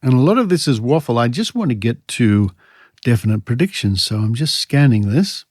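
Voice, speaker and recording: A man's voice: medium pitch, animated, moderate pace, a little mellow, dry recording. An Australian man hosting a podcast, commenting conversationally and reading aloud with dry, skeptical asides. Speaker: podcast host.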